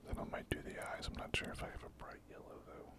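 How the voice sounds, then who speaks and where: An ASMR host narrating a miniature painting tutorial step by step in a breathy, gentle, unhurried whisper, close to the microphone.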